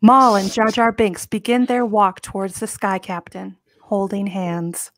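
A woman in her thirties narrates a screenplay at a live table read. Her voice is mid-pitched and recorded dry, with scripted scene-setting delivery. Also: flat delivery, unhurried, no room sound. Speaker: narrator reading stage directions. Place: home room, indoors, on a video call.

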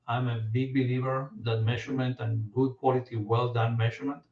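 A man in his forties from Argentina, giving a relaxed academic talk with many fillers and restarts over a video call. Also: medium pitch. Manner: relaxed, frequent fillers and repeated words. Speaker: guest lecturer, professor. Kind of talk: casual academic presentation. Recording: distant, roomy, dull video-call audio.

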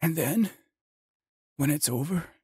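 A stern old male professor in an audiobook novel. His voice is husky and somewhat high, in a dry, close sound. He speaks very deliberately, as though each sentence were memorized. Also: expressive, dark tone, no echo, full character voice. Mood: solemn admonishing.

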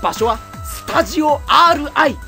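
Female announcer, high pitch, scripted event promo with faint music behind. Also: animated, normal speed, sharp tone.

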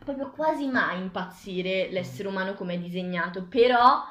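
A woman's voice: mid-range voice, normal speed, fairly bright tone. A woman in her twenties casually debating as a reviewer, picked up by a camera across a table in a small kitchen. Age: 20s. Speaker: book reviewer. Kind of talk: casual discussion of a graphic novel. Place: small tiled kitchen, indoors. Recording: echoey room, camera at a distance.

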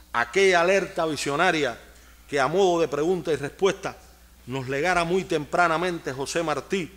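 A man's voice: fairly high pitch, fairly bright tone. A man in his sixties with a Cuban accent, closing a formal speech in a solemn, oratorical way from a podium. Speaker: government minister. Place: large conference hall at a podium. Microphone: podium microphone.

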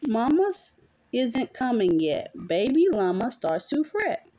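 A woman's voice: a little high, very animated, a little slow, warm tone. A mother reading a picture book aloud to her young child in a playful, sing-song way, chatting with the child as she goes. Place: small dim bedroom at home.

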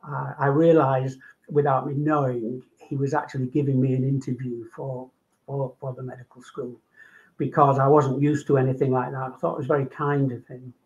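A man's voice: medium pitch, level tone, moderate pace, a little mellow. An older man from Manchester with a Manchester accent, talking about his work and life on a video call from home, with many ums and repeated words. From England. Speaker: guest doctor. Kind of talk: casual video call interview. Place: home room. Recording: dull lo-fi video call audio.

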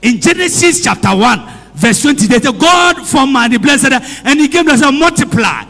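A man's voice: extremely high pitch, expressive, moderate pace, vocal fry, bright, forceful tone. A man preaching a sermon, switching between English and Twi, calling out amens and putting questions to the congregation. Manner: repeats phrases and asks the congregation questions. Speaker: priest. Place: church hall. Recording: long hall reverb.

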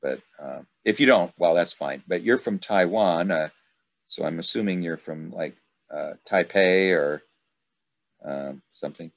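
A middle-aged man hosting an informal online study group, talking in a rambling, halting way over a video call. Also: low voice, gravelly. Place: indoors at home, video call. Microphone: video call microphone.

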